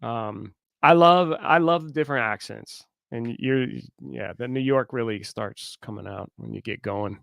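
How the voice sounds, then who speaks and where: Animated, teasing male co-host. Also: mid-range voice, a little slow, creaky, hardly any pauses, no room sound, studio quality. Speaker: livestream co-host. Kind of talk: casual livestream chat.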